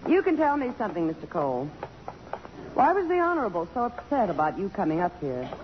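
An actress playing a brisk, wisecracking, loyal secretary with a wry, blunt manner in 1950s radio drama style. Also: female voice, medium pitch, very animated, lightly acted. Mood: wry, prying. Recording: muffled, noisy, reverberant old radio recording.

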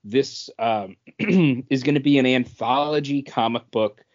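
A man's voice: medium pitch, unhurried, darkish tone, highs cut off. A man chatting informally about comic books as a bantering podcast co-host.

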